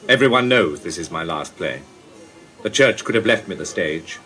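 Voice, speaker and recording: An actor playing a brooding, dignified ageing playwright in a radio play, speaking in a grave, formal, oracular way. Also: male voice, sits low, lively intonation, normal speed, pushed and bright, soft background music. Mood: indignant. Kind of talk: broadcast radio play acting.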